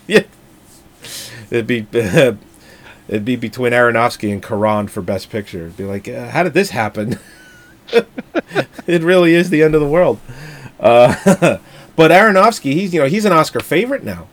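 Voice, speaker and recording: A man's voice: medium pitch, expressive, normal speed, pauses often. A man co-hosting a casual movie podcast, joking crudely and laughing often as he chats about films. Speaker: podcast co-host.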